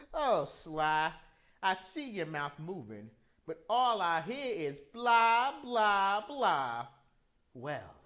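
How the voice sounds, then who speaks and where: A man's voice: high voice, very animated, extremely slow, a bit bright, heavily acted. A man voicing a sly female alligator voodoo priestess from a video game, theatrical, drawling and taunting. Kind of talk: video game villain fan voice-over.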